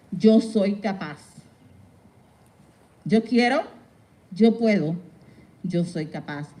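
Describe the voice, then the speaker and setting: A woman's voice: on the high side, warm tone, rough recording. A woman giving a heartfelt public address, appealing directly to her listeners. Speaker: crisis counselor, bilingual speaker. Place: speaking at a public event.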